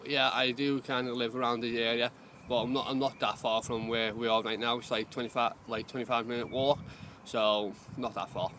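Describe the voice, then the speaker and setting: A man works as a community gardener and speaks openly, in simple, halting phrases. His voice is of ordinary pitch for a man. Faint outdoor sounds drift behind him. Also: flat delivery, sharp tone, a little hiss or hum. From Liverpool, England. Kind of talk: interview answers outdoors.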